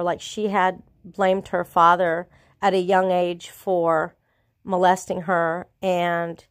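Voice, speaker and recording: A woman's voice: lowish voice, no room sound. Congested middle-aged woman, dry close sound. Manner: rambling, earnest, with restarts and trailing pauses. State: sick with a cold, congested and sore-throated. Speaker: vlogger. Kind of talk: casual storytelling to camera.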